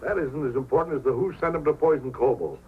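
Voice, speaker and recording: An actor playing a hot-headed, wronged dog-sled racer with emotional delivery in old-time radio drama style. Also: male voice, a little high, fast, slight background noise, natural voice. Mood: indignant. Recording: muffled old radio recording with music.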